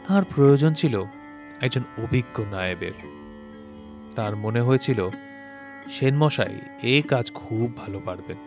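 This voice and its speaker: A man narrating a literary story from a script, with measured, formal phrasing. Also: mid-range voice. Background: plucked-string instrumental music.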